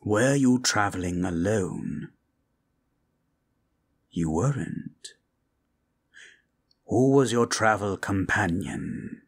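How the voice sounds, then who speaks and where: Man playing a deep, husky, solemn sorcerer. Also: lively intonation, heavily acted. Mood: grave, probing. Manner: solemn, measured, commanding. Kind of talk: improvised fantasy ASMR roleplay.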